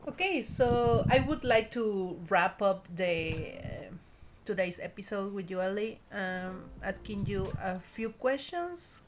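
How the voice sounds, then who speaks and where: A woman's voice: mid-range voice, lively intonation, slow pace, noisy background. Young Mexican woman hosting a chat. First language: Spanish. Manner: hesitant, frequent fillers and restarts. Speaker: podcast host. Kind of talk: casual chat.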